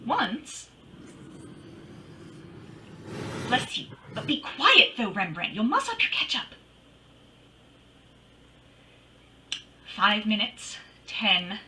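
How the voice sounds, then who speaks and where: Radio-drama female sleuth played by a woman: wry, sassy, very sharp and animated, dramatic storytelling, slightly high. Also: a little slow, recorded from a distance, a little hiss or hum, exaggerated voice. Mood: suspenseful. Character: wry, sassy female private detective telling her case. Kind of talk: old-time radio detective narration.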